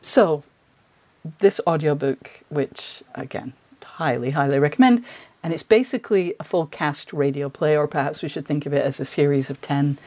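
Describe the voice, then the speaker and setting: Middle-aged woman hosting, slightly low voice. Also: moderate pace, a little mellow. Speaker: podcast host interviewing a guest. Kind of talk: conversational interview.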